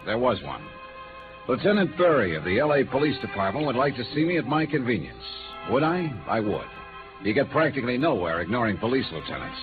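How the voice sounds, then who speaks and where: An actor playing a wry, hard-boiled American insurance investigator in clipped, world-weary 1950s radio noir style. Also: male voice, mid-range voice, sing-song, brisk, close to an everyday voice. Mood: wry narrating. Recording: tinny old radio recording with music.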